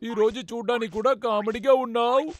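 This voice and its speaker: An actor voices a mischievous younger brother bear in a dubbed children's cartoon. The manner is playful and mocking, at a middling pitch. Music runs clearly behind it. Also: steady tone, quick pace, lightly acted.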